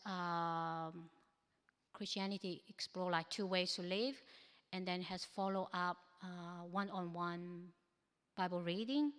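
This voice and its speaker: A woman's voice: fairly low pitch, clean tone. A woman whose first language is not English gives a practical, advice-giving presentation with slides at a church ministry training, with frequent hesitations. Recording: echoey hall with long reverb.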